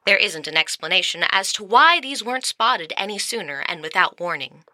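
An actress playing a grave news anchor in an audio drama, speaking in a solemn, formal, measured broadcast style. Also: female voice, medium pitch, lively intonation, pushed and bright, no echo, studio quality. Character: grave news anchor announcing the end of civilization.

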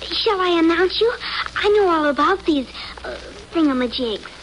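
A woman's voice: very high-pitched, animated, normal speed. An actress playing a chatty, curious, innocent little girl in a childlike, eager manner in a 1950s radio drama. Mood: bright, chatty. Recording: noisy old 1950 radio broadcast.

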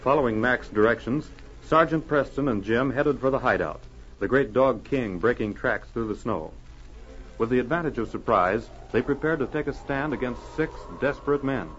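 A man's voice: medium pitch, moderate pace, faint music behind. Muffled, dramatic old-time radio announcer, a man. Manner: emphatic, formal, dramatic. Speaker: announcer and narrator. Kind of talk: dramatic 1940s radio narration.

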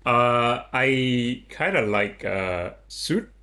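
Lao-man, halting speech. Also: medium pitch, animated, pushed and bright. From Laos. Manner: halting, repeats words while searching for English. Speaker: guest artist. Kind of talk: casual conversation.

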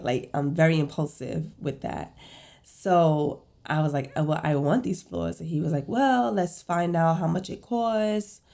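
Stuffy-nosed woman in her 30s chatting on her podcast, low gravelly voice, rambles n corrects herself. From Brooklyn, New York. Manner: rambling, self-correcting, animated storytelling. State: congested with a head cold. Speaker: podcast host, esthetician and salon owner. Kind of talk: casual solo podcast chat.

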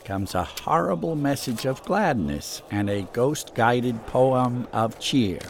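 An actor playing a humble, folksy shopkeeper in a radio drama, grateful and easily delighted. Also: male voice, mid-range voice, expressive, slow pace.

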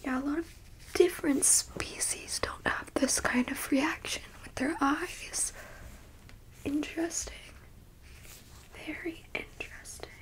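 Casting: woman to play an inquisitive, haughty alien abductor, high-voiced and whispering, clinical ASMR roleplay with blunt asides. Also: steady tone, takes it slowly, pauses often, rough recording. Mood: clinically intrigued. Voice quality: soft, breathy whisper. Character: curious, easily disgusted, haughty alien abductor examining a human.

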